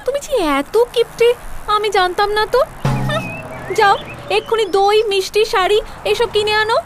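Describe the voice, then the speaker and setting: A woman's voice: very high voice, normal speed. A woman playing a vain, nagging young wife in a comic cartoon, with a dramatic scolding manner. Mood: annoyed, scolding. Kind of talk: exaggerated comic cartoon acting. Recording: music behind the voice.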